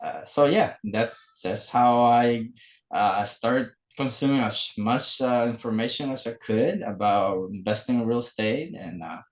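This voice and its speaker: A man in his forties from El Salvador, speaking English, telling his story as a podcast guest with many pauses and fillers. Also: medium pitch. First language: Spanish. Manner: halting, many fillers and restarts. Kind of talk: casual interview. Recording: muffled lo-fi remote audio.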